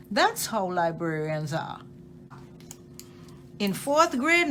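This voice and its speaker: A woman with a fairly high voice reads a children's story aloud in an expressive way. Her pitch swings widely and she takes her time over each phrase, leaning on key words. There is some noise in the recording. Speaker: storytime reader at a library. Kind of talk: expressive read-aloud of a children's picture book.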